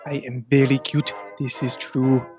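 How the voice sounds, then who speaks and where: A smug little talking cat acted by a man for an audio comedy. He is crafty and deadpan, with very flat pitch, recorded a little muffled over faint music. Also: measured pace, lightly acted. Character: crafty, self-satisfied talking cat, small and cute.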